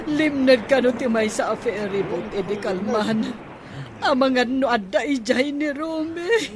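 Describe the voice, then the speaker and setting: A woman's voice: on the high side, measured pace, lightly acted. A middle-aged woman with an Ilocano accent playing a tearful mother waiting at a pier. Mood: distraught. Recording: crowd noise behind.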